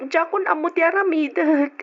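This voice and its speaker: A woman's voice: extremely high pitch, normal speed, a little hiss or hum. An actress playing a young woman in love who fears she is pregnant, in an old radio drama with a lo-fi sound. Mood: worried.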